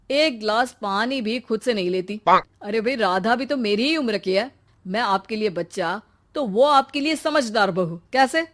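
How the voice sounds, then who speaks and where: A woman's voice: mid-range voice, close to an everyday voice. A woman voicing a protective young married son in a cartoon story, earnest and protesting. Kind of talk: cartoon moral-story acting.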